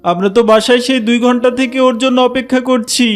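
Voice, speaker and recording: Man voicing an anxious, very high-pitched cartoon father. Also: steady tone, a little fast. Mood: worried. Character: anxious middle-aged father in a children's cartoon. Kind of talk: animated cartoon dialogue.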